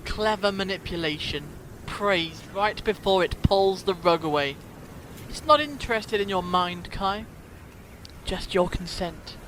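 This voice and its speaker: A man playing a protective, quick-witted investigator in a fan audio drama, speaking emphatically and earnestly. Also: sits high, lively intonation, fairly bright tone, a little hiss or hum. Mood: defiant. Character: urgent, protective male time-travelling investigator, quick-witted and reassuring.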